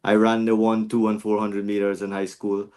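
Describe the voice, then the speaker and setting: A man's voice: on the low side, completely flat delivery, normal speed, dark tone, clean tone. A man in his forties from Guyana, talking reflectively as a podcast guest with frequent restarts. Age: 40s. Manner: reflective, many restarts and fillers. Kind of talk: casual interview chat.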